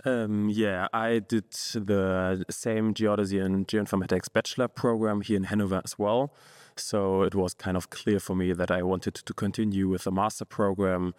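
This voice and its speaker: A young man, a master's student guest answering in a relaxed, hesitant way with many fillers. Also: deep voice, moderate pace, dry recording, clean studio sound. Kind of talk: podcast interview answers.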